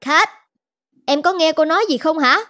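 A woman playing a stern, middle-aged schoolteacher in a cartoon, brisk and admonishing. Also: high-pitched, pushed and bright. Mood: stern, scolding. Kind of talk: animated cartoon dubbing in Vietnamese.

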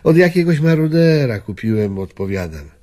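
An actor playing an elderly former Polish army officer in a radio drama, reminiscing wistfully in halting, reflective phrases. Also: male voice, mid-range voice, sing-song, warm tone, lightly acted. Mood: tense recollection. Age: older.

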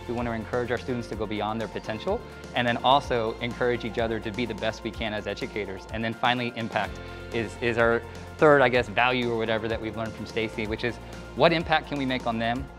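A man's voice: mid-range voice, fairly even intonation, quick pace, over music. A middle-aged man, a school principal, explaining things in an upbeat way as he walks through school halls and classrooms, speaking into a handheld mic. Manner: upbeat, explanatory, walking while talking. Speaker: school principal leading a tour. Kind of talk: casual guided tour talk. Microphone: handheld microphone.

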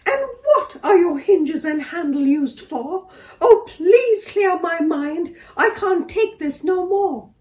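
A woman voicing a bewildered mother kangaroo who is shrill and overwrought, half laughing and half sobbing. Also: animated, takes it slowly, darkish tone, exaggerated voice. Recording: echoey room, distant mic.

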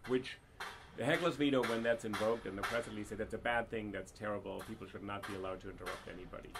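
A man's voice: lowish voice, fairly even intonation, fast talker. A man hosting a podcast interview, asking probing questions and thinking aloud. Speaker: podcast host. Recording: faint background noise.

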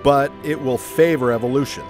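An actor playing an earnest, ambitious young reporter in an audio drama, polite and questioning. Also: male voice, medium pitch, fairly even intonation, a little fast, music in the background, lightly acted. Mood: earnest doubt. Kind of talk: family audio drama acting.

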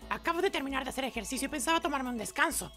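Man voicing a calm, stoic fighter woman. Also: very high voice, very fast, faint music behind, pitched above the performer's own voice, heavily acted. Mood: calm, grave. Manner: calm, grave, restrained. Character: stoic, muscular young woman martial artist. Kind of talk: video game character voicing.

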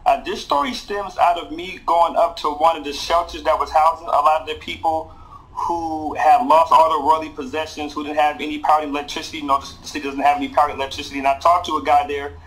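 Higher-voiced American male news reporter. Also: flat delivery, quick pace, a bit bright, rough recording. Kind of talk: live news report in conversational speech. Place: small indoor room. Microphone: video call.

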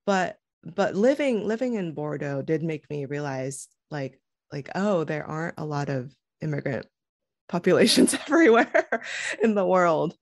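A woman's voice: low-pitched, animated, unhurried, no room sound, clean studio sound. A woman, a guest on a video-call interview, talking thoughtfully with frequent restarts and fillers. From United States. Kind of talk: casual conversational interview. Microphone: headset.

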